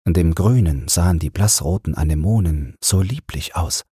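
A man gently narrating a bedtime fairy tale in a soothing, measured way, close to the microphone. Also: low-pitched, husky, no room sound. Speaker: audiobook narrator.

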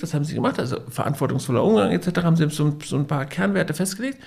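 A man's voice: high-pitched, vocal fry. A man co-hosting a casual business podcast, telling stories with many fillers and restarts. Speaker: podcast co-host.

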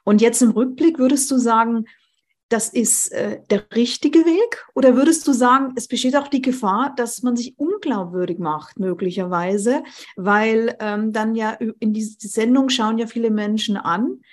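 A woman's voice: a little high, moderate pace, a little mellow. A middle-aged woman interviewing in a conversational style, reflectively building up long questions step by step.

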